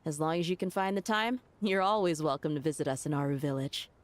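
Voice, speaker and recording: Lowish-voiced, wry desert woman played by an actress. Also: fairly even intonation, fast talker, natural voice. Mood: wry, friendly. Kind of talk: video game voice acting.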